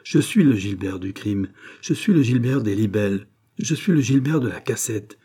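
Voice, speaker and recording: A man's voice: on the low side, lively intonation, normal speed, warm tone, slightly rough. A man reading a fervent, commanding doctor. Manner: commanding, rising to fervent declamation. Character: intense male doctor imposing his will.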